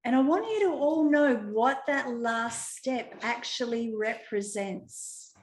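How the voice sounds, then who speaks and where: Female visualization coach, unhurried and soothing, repetitive prompts, recorded in a roomy echoey space. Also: fairly high pitch. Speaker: coach leading a group visualization. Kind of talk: guided visualization. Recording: roomy echo.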